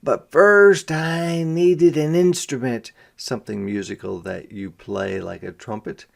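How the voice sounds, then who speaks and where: A man reading a picture book aloud to young children as a teacher, phrasing deliberately and pausing to explain words simply. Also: medium pitch, steady tone, creaky. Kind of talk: interactive children's read-aloud with explanatory asides.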